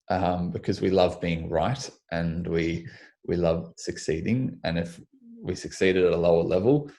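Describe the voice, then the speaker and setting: A young Australian man, a physiotherapist, answering interview questions in a measured way, slightly croaky in the morning, restarting his sentences often. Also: low-pitched, dark tone. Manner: measured, with frequent restarts and ums. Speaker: physiotherapist guest.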